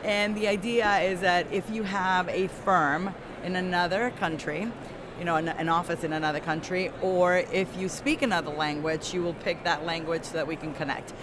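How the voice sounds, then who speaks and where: A woman's voice: fairly low pitch, fairly even intonation, moderate pace. A woman chatting casually as an interviewer. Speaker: interviewer, conference volunteer. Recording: clear urban background noise.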